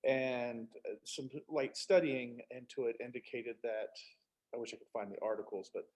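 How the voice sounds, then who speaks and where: A man's voice: medium pitch, steady tone, normal speed, a little mellow, low-quality recording. A man speaking as an author guest in a casual interview, telling anecdotes in a self-deprecating, joking way.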